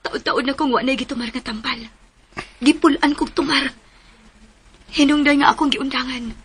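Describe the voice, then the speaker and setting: A woman's voice: high voice, steady tone, moderate pace, background noise, close to an everyday voice. An actress playing a troubled, fearful young woman in a Philippine radio drama, hesitant and emotional, with a Cebuano Filipino accent. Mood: weary, stubborn.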